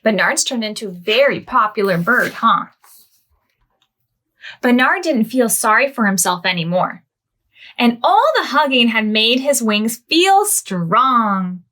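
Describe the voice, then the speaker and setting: Slow sing-song storytime woman. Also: fairly high pitch, a bit bright. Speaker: children's librarian. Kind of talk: reading a picture book aloud to preschoolers.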